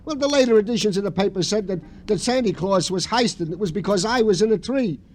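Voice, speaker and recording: A man playing a meek, mournful police sergeant who speaks in plaintive, halting phrases in a 1950s radio crime drama. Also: high-pitched, expressive. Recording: noisy old radio recording.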